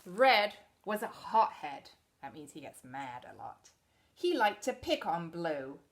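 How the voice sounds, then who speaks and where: A woman teacher reading a storybook aloud to children expressively, pausing to explain things in simple words. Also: fairly low pitch, very animated.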